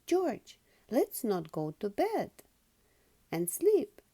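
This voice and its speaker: An excitable little girl pig from a storybook, played by a woman reader. The voice is high and swoopy, eager and childlike. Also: drawn-out words, husky, exaggerated voice.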